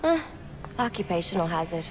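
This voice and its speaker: A woman's voice: fairly low pitch, big pitch swings, fairly quick. An actress playing a tough, guarded woman in a video game, with a sarcastic, clipped delivery. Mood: sardonic. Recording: long reverb, loud background noise.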